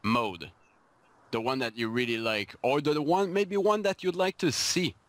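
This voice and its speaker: A man from Canada co-hosting a casual gaming podcast, halting and full of restarts as he eagerly poses questions. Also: mid-range voice, no room sound. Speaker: podcast co-host. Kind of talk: casual group chat.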